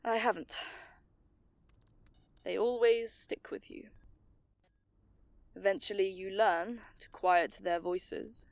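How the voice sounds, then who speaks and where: An actress plays a seasoned, stern commander in an audio drama with a measured way of speaking. Her voice is mid-pitched and unhurried, recorded close and dry. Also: no echo. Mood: gentle.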